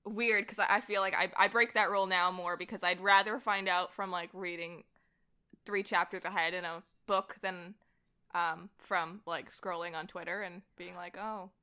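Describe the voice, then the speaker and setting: A woman podcast guest chatting casually, telling stories in an animated, self-deprecating way with frequent laughter. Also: on the low side, moderate pace, clean tone. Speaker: voice actor. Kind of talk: casual conversational chat about fandom.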